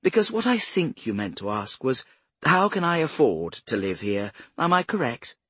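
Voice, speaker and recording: A man's voice: mid-range voice, big pitch swings, moderate pace, a bit bright, husky, dry recording, voice pushed up. A man narrating an audiobook as a prim, self-righteous older woman with a cultured English accent. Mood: arch, composed. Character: cultured, haughty retired care-home matron, a grandmother.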